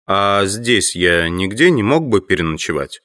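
An actor playing a polite, educated teacher who collects insects, speaking courteously and patiently in an audio drama. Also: male voice, on the low side, big pitch swings, measured pace, pushed and bright, exaggerated voice.

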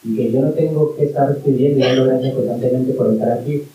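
A man's voice: mid-range voice, steady tone, quick pace, recorded from a distance, highs cut off. A man from Colombia speaking at a public talk, arguing passionately and leaning on fillers as he goes. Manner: impassioned, argumentative, full of fillers like "o sea" and "en plan". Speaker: panel speaker, migrant activist. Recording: roomy hall, voice picked up from afar, faint hiss.

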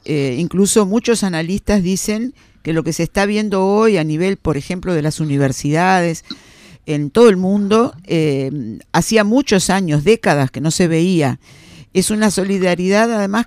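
High-voiced male interviewer, dry sound. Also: expressive, clear, resonant tone, no echo. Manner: measured, asks long framed questions.

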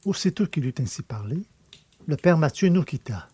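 A man reading scripted literary narration as an audiobook narrator, with a mellow, slightly husky voice. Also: fairly high pitch, very animated, normal speed.

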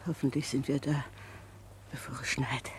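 A woman's voice: rumbling low, fairly even intonation, normal speed. An actress playing a weary, plain-spoken female convict in a 1960s German radio drama, speaking matter-of-factly. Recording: hissy old 1960s radio recording.

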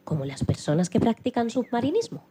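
Very animated woman storyteller. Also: a little high, brisk, husky. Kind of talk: dramatised children's story narration.

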